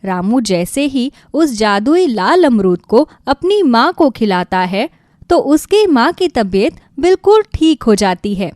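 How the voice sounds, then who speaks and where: A woman narrating a scripted children's moral story in an expressive storytelling manner. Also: a little high, measured pace, dry recording, studio quality.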